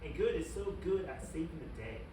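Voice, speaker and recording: A wicked young supervillain scientist, acted out by a man, speaks in a slightly high voice with theatrical self-importance, as in a comedy cartoon. He is recorded from a distance in a very echoey room. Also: lively intonation, fairly quick, close to an everyday voice. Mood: smug musing. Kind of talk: animated comedy pilot table read. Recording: roomy, distant mic, clearly noisy.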